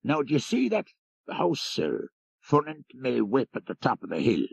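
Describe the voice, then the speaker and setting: A man acting a gravelly Irish country jarvey. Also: medium pitch, wildly swooping pitch, unhurried, no echo, voice pushed up, full character voice. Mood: deferential, chatty. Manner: folksy, deferential. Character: rural Irish jarvey driver, deferential, gossipy storyteller. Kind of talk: audiobook character reading.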